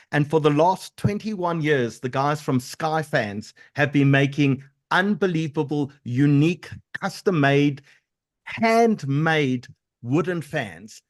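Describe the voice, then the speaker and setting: A man hosting a live webinar over a video call, chatty and full of thanks for sponsors. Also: mid-range voice. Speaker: webinar host.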